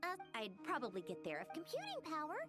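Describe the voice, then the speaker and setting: Squeaky, excitable childlike game companion. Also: female voice, fast talker, over loud music. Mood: flustered, annoyed. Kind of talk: video game voice acting.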